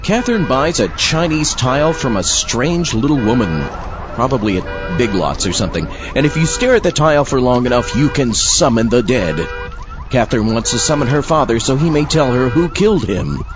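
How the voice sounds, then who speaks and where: An actor playing an irritable, wisecracking zombie who hosts a comic horror show with sardonic asides. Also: male voice, mid-range voice, sing-song, normal speed, close to an everyday voice. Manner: sardonic, exasperated. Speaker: host. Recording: dull sound with music behind.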